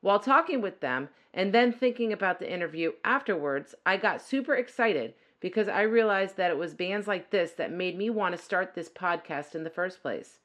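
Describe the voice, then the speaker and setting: A woman's voice: fairly low pitch, expressive, normal speed, few pauses, fairly bright tone. A middle-aged woman hosting a podcast, chatty and upbeat, laughing easily with her guests. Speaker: podcast host. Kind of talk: podcast interview with intro narration.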